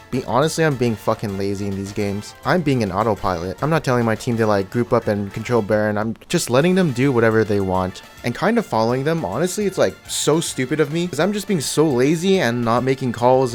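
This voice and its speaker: A young gaming creator with a mid-pitched voice that sounds a little worn down by a cold. He rambles at an easy pace, openly grumbling about his own lazy play, while quiet music runs under his commentary. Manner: laid-back, self-critical, rambling. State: coming down with a cold. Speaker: gaming YouTuber. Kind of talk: casual gameplay commentary voice-over.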